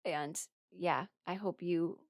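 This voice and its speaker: A young adult woman rambling casually through her opinions as a video creator, expressive and emphatic, blunt but apologetic. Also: fairly low pitch, slow pace, dry recording, studio quality. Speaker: video creator ranking characters.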